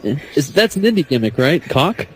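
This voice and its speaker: A man chatting casually as a podcast guest, joking and quick to laugh. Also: fairly high pitch, sing-song. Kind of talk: casual chat. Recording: faint background noise.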